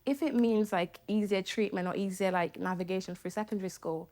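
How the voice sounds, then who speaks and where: A woman from Nigeria, a first-generation immigrant, talking thoughtfully in a documentary interview with frequent pauses and fillers. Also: mid-range voice, a little mellow. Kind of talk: reflective interview talk.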